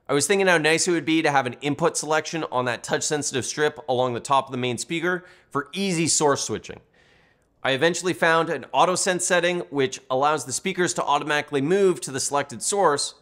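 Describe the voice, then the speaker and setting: A man's voice: on the high side, a bit bright. A man reading a scripted product review as the reviewer and narrator.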